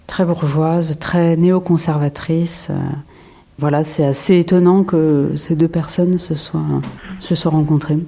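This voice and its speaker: A woman in her forties from France talks about her life and work in an unhurried interview. Her voice sits slightly low and sounds warm and mellow. She hesitates often, restarting phrases and filling gaps as she thinks aloud. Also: fairly even intonation. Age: 40s. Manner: hesitant, many fillers and restarts. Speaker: photographer, interview guest. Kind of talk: reflective interview answers.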